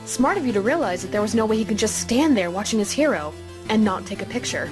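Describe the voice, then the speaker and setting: A woman's voice: medium pitch, expressive, quick pace, music in the background, close to an everyday voice. A woman playing an assured, supportive mentor lawyer in a fan-dubbed courtroom drama, composed and coaching. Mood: approving. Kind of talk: fan dub courtroom game acting.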